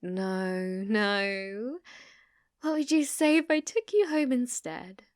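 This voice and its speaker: A woman's voice: on the high side, expressive, takes it slowly, no echo, clean studio sound. A British woman playing an obsessive, sweetly possessive young female stalker in an ASMR roleplay, coaxing and often dropping into whispers. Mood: sweetly menacing.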